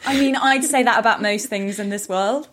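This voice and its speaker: A woman's voice: on the high side, normal speed, fairly bright tone. A woman co-hosting a podcast, explaining her opinions in a reflective, articulate, conversational way. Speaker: podcast co-host. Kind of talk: casual opinion chat.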